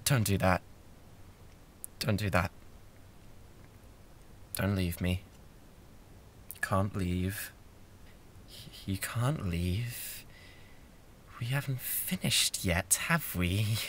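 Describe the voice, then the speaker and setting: Man playing an unhinged British yandere classmate, wildly swinging pitch. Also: animated, slow pace, pauses often, a little hiss or hum. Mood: menacing, soft. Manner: stammering, intense, swinging from soft pleading to outbursts. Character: bratty, obsessive, unhinged young male yandere classmate. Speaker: audio roleplay performer. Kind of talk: ASMR roleplay acting.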